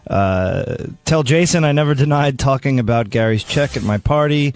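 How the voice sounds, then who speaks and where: A man on a radio show casually reading messages out loud. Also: mid-range voice, moderate pace, no room sound.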